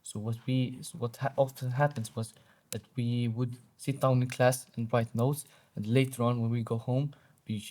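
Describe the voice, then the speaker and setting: Flat, mellow-voiced teenage boy. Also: medium pitch. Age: teens. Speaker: student. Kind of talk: interview answer.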